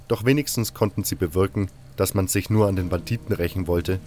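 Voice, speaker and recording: Deep-voiced man narrating a fantasy audiobook. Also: a little mellow.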